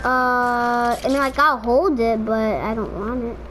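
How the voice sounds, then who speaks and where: A woman's voice: fairly high pitch, steady tone, a little slow, a bit bright. A woman chatting casually while playing an online shooter in a squad, rambling with lots of fillers. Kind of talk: casual gaming chat. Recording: noisy game audio behind.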